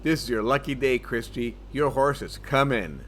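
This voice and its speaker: A man playing a brash, smooth-talking gambler in a comedy audio drama, with a cocky, offhand delivery. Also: mid-range voice, moderate pace, a bit bright, slight background noise, lightly acted. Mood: intrigued, charming.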